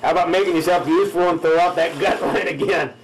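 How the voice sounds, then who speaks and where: Man playing a high-pitched crusty old fishing skipper. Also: fast, background noise, close to an everyday voice. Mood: scornful. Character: crusty old fisherman skipper, gruff, bossy, scornful. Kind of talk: amateur radio drama spoof acting.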